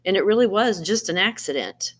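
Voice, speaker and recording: An earnest storybook egg read aloud by a woman, anxious but determined. The delivery is measured, with dramatic emphasis and pauses, telling its own tale expressively. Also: medium pitch, expressive, heavy background noise, natural voice. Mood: wistful.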